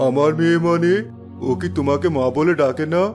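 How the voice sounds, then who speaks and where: A middle-aged cartoon father , acted by a man, patient but firm. His voice is a little high and he reasons earnestly in this family drama. Music is clearly heard behind him. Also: steady tone, fairly quick, close to an everyday voice. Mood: reproachful.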